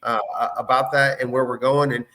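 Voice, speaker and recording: A man's voice: mid-range voice, little pitch movement, moderate pace, pushed and bright, low-quality recording. A man co-hosting a casual, opinionated chat in an emphatic, insistent way. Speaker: show co-host.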